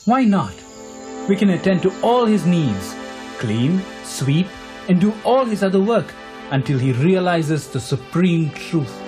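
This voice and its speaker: Man playing a slow-speaking devoted ascetic companion. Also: high-pitched, big pitch swings, over music. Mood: eager, devoted. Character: devoted, eager ascetic companion named in service. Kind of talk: animated film dialogue.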